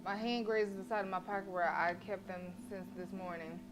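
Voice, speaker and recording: A woman's voice: medium pitch, steady tone, normal speed, over music, low-quality recording. A woman game streamer reading story narration aloud and reacting chattily and expressively on a livestream.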